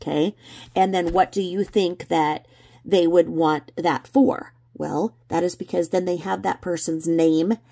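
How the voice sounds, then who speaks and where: A woman hosting a casual livestream commentary, explaining in a halting, repetitive, sardonic way. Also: low voice, dark tone. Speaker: livestream host commenting on video clips.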